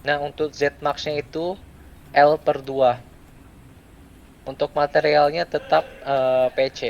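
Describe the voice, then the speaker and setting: Halting young man, noisy recording. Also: a little high, little pitch movement, muffled recording. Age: young adult. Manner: halting, pausing between short phrases. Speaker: student presenter giving a software tutorial. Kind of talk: step-by-step screen tutorial, unscripted. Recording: clear background noise.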